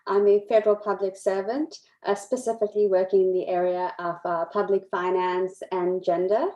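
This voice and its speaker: A woman's voice: mid-range voice, flat delivery, moderate pace, dark tone. Woman, hesitant panelist, roomy echo on a video call. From South Africa. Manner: hesitant, many uhs. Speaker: public servant, panelist. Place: room in Ottawa. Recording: echoey room on a call.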